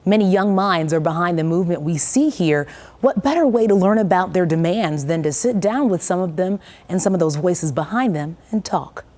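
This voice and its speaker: Lower-pitched female TV co-host. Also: lively intonation, moderate pace, mellow. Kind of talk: scripted broadcast introduction.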